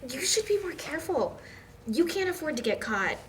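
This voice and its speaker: Chatty college girl read by a woman, playful, slightly high voice, faint room noise. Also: very animated, normal speed. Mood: wary. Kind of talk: amateur table read of drama script.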